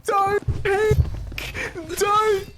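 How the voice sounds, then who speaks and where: A man's voice: extremely high pitch, monotone, very slow, background noise. A man casting esports, exclaiming at plays and then breaking them down. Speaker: esports color caster. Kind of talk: excited esports commentary.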